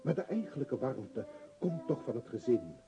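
An actor playing an earnest, self-righteous shopkeeper and family man in a radio play, with a dark, husky voice and insistent manner. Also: mid-range voice, very animated, normal speed, music in the background. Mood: earnest, confiding. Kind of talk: Dutch radio play acting.

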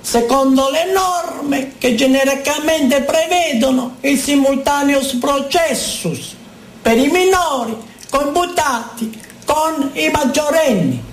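Female narrator, high voice, formal and declamatory, echoey recording with light music underneath. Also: slight background noise. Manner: formal, declamatory, deliberate phrasing. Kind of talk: dramatic scripted narration of court proceedings. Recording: echoey room with music behind.

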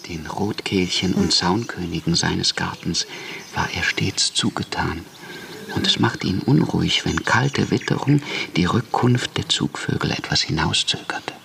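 An actor playing a sober, gentle gentleman companion in a radio drama, speaking in a measured, thoughtful way. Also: sits low, heavily acted. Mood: wistful. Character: sober, gentle gentleman attending an old philosopher. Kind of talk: German radio drama acting. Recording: clear ambient noise, insects.

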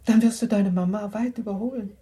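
A mellow, dark-toned voice of middling pitch as a woman acts a genteel mother in a radio drama. She is middle-aged, purposeful and earnest, choosing her words deliberately. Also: steady tone, close to an everyday voice. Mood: earnest, urging. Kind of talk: German literary radio play acting.

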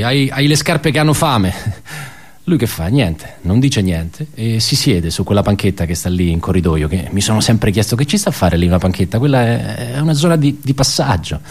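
An actor playing a wry, bitter male office worker in a theatrical radio monologue, halting and ironic as he tells his story. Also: fairly low pitch, darkish tone, breathy edge. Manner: halting, self-correcting, conversational, ironic.

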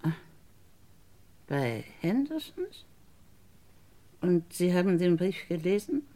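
An actress playing a bitter, wronged convicted woman in a radio crime drama, deliberate and insinuating, with a husky low voice. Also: sing-song, dark tone. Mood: accusing, probing. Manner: deliberate, halting, insinuating, dropping into whispers. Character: bitter, wronged woman once convicted of murdering her sister.

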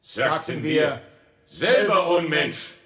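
A man's voice: on the high side, expressive, takes it slowly, bright, forceful tone, heavily acted. An actor playing a defiant citizen of plague-time London, chanting his testimony in a 1960s German radio play. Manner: declamatory, chanting. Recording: echoey, distant from the mic.

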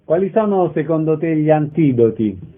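A man with a fairly high voice presents on the radio, the sound narrow and tinny. He reads out introductions and asks short, prepared interview questions. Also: expressive, moderate pace. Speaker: radio host.

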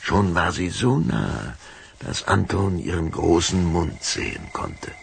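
Deep, gravelly male storyteller. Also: takes it slowly. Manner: suspenseful, expressive. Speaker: narrator. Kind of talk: dramatic scripted storytelling for a children's radio play.

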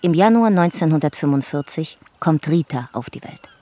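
An actress narrating a radio drama as a family chronicler, factual and composed with dry irony. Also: female voice, low voice, animated, normal speed, warm tone, slight background noise. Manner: factual, composed, dryly ironic. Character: matter-of-fact, dryly ironic chronicler of a family.

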